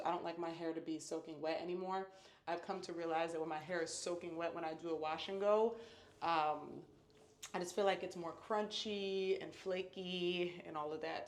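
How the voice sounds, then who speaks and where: A woman vlogging at home as a hair reviewer, chatty and upbeat, repeating words for emphasis. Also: fairly low pitch. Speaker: natural hair YouTuber. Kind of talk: casual product review vlog. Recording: echoey room.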